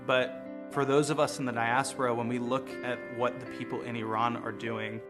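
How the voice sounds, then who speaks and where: An American man speaks in a measured, earnest documentary interview, reflecting calmly. His voice sits at a middle pitch. Guitar music plays clearly beneath him. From United States. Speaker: lawyer and street photographer. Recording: music bed playing behind voice.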